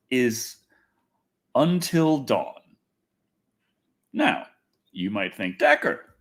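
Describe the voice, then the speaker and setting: Animated, rambling man hosting a livestream. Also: mid-range voice. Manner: rambling, animated, self-interrupting. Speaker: livestream host. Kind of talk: casual gaming and movie news livestream.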